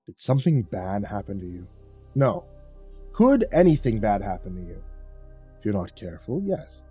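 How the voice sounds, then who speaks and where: A man voicing a calm, formal creature keeper who speaks in measured, unhurried sentences, in a storybook narration. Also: mid-range voice, slightly rough, soft background music, voice pushed down. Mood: stern, dry.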